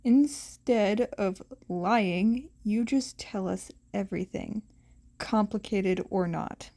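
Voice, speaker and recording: A woman voicing a dry, matter-of-fact teenage boy in a deadpan, measured manner. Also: mid-range voice, pitched below the performer's own voice. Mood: dry, firm.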